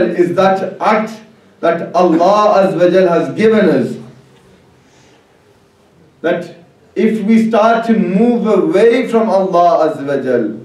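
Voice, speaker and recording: A male preacher delivers a sermon from a little way off in a heavily reverberant hall. His pace is slow and deliberate, and he leans emphatically on repeated phrases as he addresses the congregation. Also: high-pitched, darkish tone. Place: large echoing religious hall. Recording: very echoey hall, distant mic.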